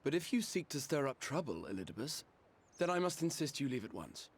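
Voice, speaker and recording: An actor voicing an earnest, noble, weary fantasy game hero who speaks formally and eloquently. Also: male voice, medium pitch, big pitch swings, slightly rough. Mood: firm. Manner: formal, eloquent, measured storytelling. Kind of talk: dramatic video game voice acting.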